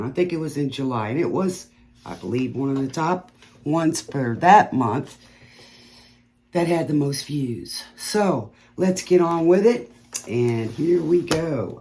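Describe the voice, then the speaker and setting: A woman's voice: extremely low pitch, warm tone. Chatty, rambling woman tarot reader. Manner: rambling, chatty, stutters at times; laughs at own puns. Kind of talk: casual chat to viewers before a reading.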